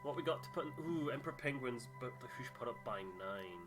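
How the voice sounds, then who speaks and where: A man's voice: mid-range voice, expressive, fast, music in the background, low-quality recording. A man giving casual, jokey commentary on a game he is playing. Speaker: let's player reading game dialogue aloud.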